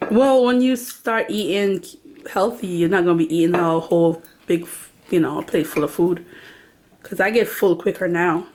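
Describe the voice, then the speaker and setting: A woman with a hoarse, worn voice and a Jamaican accent, chatting casually as a vlog host and laughing now and then. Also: on the low side, moderate pace, reverberant, a little hiss or hum. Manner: laughs often, relaxed chatty talk. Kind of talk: casual chat about books and cooking.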